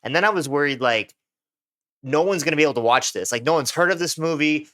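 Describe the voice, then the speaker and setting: A man's voice: a little high, quick pace, fairly bright tone. A young American man, co-hosting a podcast in casual, rambling chat full of filler words. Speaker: podcast co-host.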